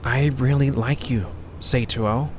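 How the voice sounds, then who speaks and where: A man voicing a small, clumsy male creature with a propeller, speaking softly and earnestly in an amateur audio drama. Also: mid-range voice, fairly even intonation, takes it slowly, a little hiss or hum, close to an everyday voice. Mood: wistful.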